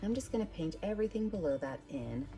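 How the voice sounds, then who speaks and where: A woman's voice: mid-range voice, animated, fast talker. A woman art teacher hosting an instructional step-by-step tutorial, addressing viewers in a friendly, direct way. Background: background music.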